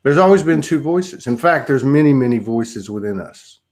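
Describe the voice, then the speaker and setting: A man's voice: medium pitch, lively intonation, moderate pace, darkish tone. A man hosting a spiritual conversation, musing aloud in a rambling way with many repeats. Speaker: host.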